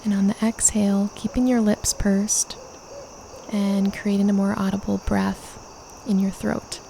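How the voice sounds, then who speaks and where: A woman leading a guided meditation, calmly and gently instructing with unhurried pauses. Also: medium pitch, gravelly, a little hiss or hum.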